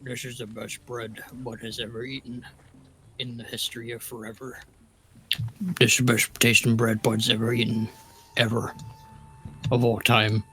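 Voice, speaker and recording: A man's voice: medium pitch, level tone, normal speed, long pauses, bright, forceful tone, a little hiss or hum, close to an everyday voice, poor audio. A man voicing a simple-minded, earnest adventurer in a tabletop roleplay, speaking in broken grammar and calling himself by name. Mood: amazed.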